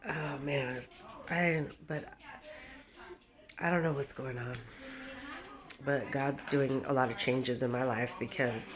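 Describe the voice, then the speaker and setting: A woman's voice: very deep voice, steady tone, takes it slowly, pauses often, a crowd in the background. A woman in her fifties rambling casually to her viewers, laughing often and drifting from story to story. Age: 50s. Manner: chatty, laughs often, drifts between stories, baby-talks to her dog. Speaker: vlogger. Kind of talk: rambling casual chat to camera.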